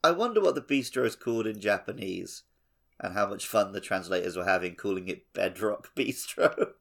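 Low, slightly gravelly man, dry banter. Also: expressive, moderate pace. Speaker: podcast co-host. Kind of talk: casual banter about a video game.

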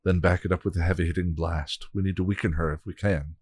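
Man playing an older male mentor, measured, low quiet voice, dry sound. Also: fairly even intonation, slightly rough, no room sound. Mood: instructive. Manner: instructive, measured.

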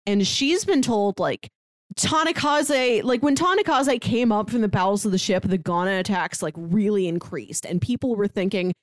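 Casual female co-host for recaps, expressive and animated storyteller, very little room sound. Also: mid-range voice, normal speed, no room sound. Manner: animated storytelling that acts out quotes. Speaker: podcast co-host. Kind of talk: casual chat recapping a manga plot.

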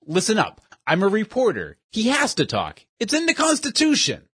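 A bossy schoolgirl reporter pushes her point hard in a brash voice, as a man puts her on for a storybook. She is pitched well above his own voice and jumps around in pitch. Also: wildly swooping pitch, moderate pace, a bit bright, breathy edge, no echo, clean studio sound, heavily acted. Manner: pushy and brash. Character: bold, bossy girl reporter.

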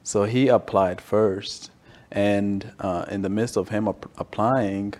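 A man's voice: lowish voice, steady tone, slow, darkish tone. A man in his twenties from Tanzania, speaking casually as an interview guest and often adding check-in tags to his sentences. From Tanzania, moved to the US at ten. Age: 20s. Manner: frequent "you know" and "right?" tags. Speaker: interview guest, staff accountant. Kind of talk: casual conversational storytelling.

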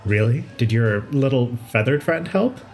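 An actor, a man, playing a kindly, brisk and chatty pathologist in an audio drama. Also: medium pitch, expressive, a little mellow, lightly acted. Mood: amazed, busy. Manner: chatty, considerate, thinks aloud. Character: kindly, brisk hospital pathologist, nonbinary, friendly and curious.

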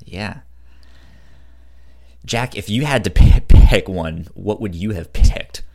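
A man with a low voice co-hosts a podcast. He chats casually and keeps a laid-back, joking tone. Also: slow pace, vocal fry, a little mellow. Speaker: podcast co-host. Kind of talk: casual chat.

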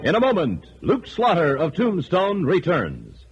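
A man's voice: fairly high pitch, wildly swooping pitch, unhurried, husky, soft background music. A man announcing and reading an advertisement in the style of 1950s radio. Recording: vintage radio recording.

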